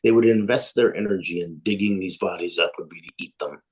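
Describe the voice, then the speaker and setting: A man's voice: low voice, a little fast, breathy edge. A man playing an earnest, puzzled woman wildlife officer in a tabletop game, hesitant and analytical.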